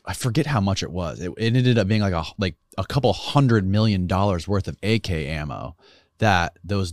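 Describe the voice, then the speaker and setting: A man hosting a podcast, asking curious and thoughtful questions in a relaxed conversational way. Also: low-pitched, dry recording, studio quality. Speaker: podcast host.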